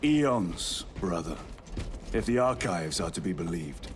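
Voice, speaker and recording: A man's voice: sits low, animated, unhurried, a little hiss or hum. A man playing a grave, resolute veteran lieutenant in a sci-fi video game, solemn, formal and commanding. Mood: grim, solemn. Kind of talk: video game cutscene acting.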